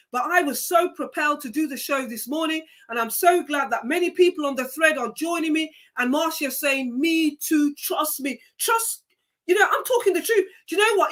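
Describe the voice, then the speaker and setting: Bright, emphatic woman host. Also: high voice. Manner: emphatic and preachy, repeats key phrases. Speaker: live show host. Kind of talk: live-stream motivational talk, reading viewer comments.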